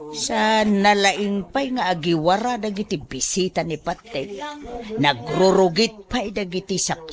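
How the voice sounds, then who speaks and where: A woman with an Ilocano accent playing a put-upon, plaintive housewife who sighs and complains in a radio drama. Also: fairly low pitch. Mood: weary, complaining. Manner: plaintive, sighing.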